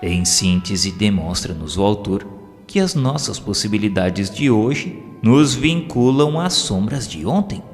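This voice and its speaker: A Brazilian man reading solemn scripted religious narration in a formal, measured, oratorical way. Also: lowish voice, lively intonation. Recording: long hall reverb, music underneath. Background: background music.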